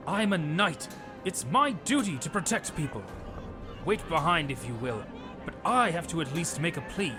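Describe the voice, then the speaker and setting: A man's voice: fairly high pitch, big pitch swings, moderate pace, voice pushed up. A man voicing an indignant, dutiful knight in a fantasy storybook, emphatic and righteous. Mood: resolute. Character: earnest, indignant male knight, dutiful and bold. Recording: narration over loud background music.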